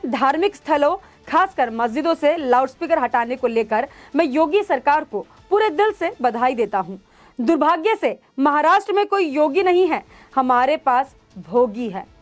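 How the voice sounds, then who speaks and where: A woman news presenter giving emphatic, opinionated commentary as she explains a story to viewers. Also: high-pitched. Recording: music under the voice. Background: background music.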